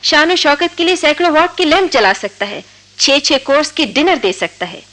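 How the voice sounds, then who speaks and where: A woman's voice: high-pitched, moderate pace, bright, forceful tone. An actress playing a lovestruck, defiant young college woman from a wealthy home in a theatrical Hindi radio drama. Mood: agitated, indignant. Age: young adult. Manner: impassioned, theatrical delivery.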